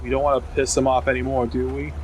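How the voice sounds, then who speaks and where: A man playing a tabletop roleplaying game, talking casually and haltingly as he thinks aloud. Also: mid-range voice, little pitch movement, darkish tone, a little hiss or hum, rough recording. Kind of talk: casual in-character game talk.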